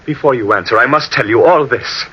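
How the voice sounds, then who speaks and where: A man playing an intense, ambitious young Italian painter in an old-time radio drama, earnest and theatrical with a husky edge. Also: medium pitch, a little fast, natural voice. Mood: desperate, haunted. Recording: dull vintage AM radio recording.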